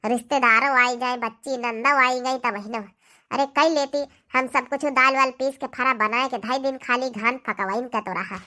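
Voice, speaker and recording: A young, put-upon daughter-in-law from a comedy cartoon, acted by a woman, speaks in a high, sharp voice. She has an Awadhi accent and complains plaintively, begging for sympathy. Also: fairly even intonation, normal speed. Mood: aggrieved.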